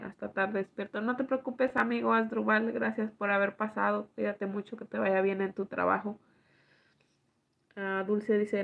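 A woman, hoarse from allergies, chatting slowly with viewers and reading their comments aloud on a live stream from home at night. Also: mid-range voice, narrow, tinny audio. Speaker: livestream host reading chat. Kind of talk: casual live chat with viewers.

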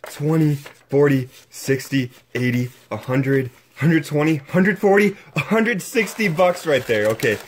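A young man narrating his own exploration vlog, excitedly exclaiming over what he finds while filming up close with a handheld camera. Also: on the high side, a little slow, hardly any pauses, a little hiss or hum. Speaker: exploration vlogger.

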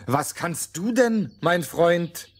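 An actor playing the blunt, questioning, comradely leader of a band in a children's fairy-tale audio play. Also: male voice, a little high, animated, slow pace, noisy background. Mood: curious, frank. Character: frank, sceptical leader of fairy-tale companions.

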